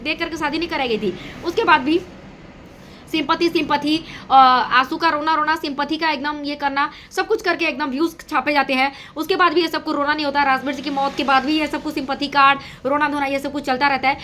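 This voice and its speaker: A woman with a very high, bright and sharp voice. She gives casual reaction commentary straight to her viewers, hammering points home through repetition. There is faint noise behind her. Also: steady tone, a little fast. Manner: repetitive, emphatic phrasing. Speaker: reaction video host. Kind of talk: casual gossip commentary to an audience.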